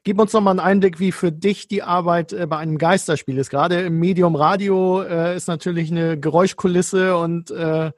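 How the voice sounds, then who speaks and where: A man's voice: high voice, fairly even intonation, fairly quick, few pauses, dry recording, clean studio sound. A man, a newspaper journalist hosting a podcast, asking questions hesitantly with many fillers. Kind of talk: interview questions.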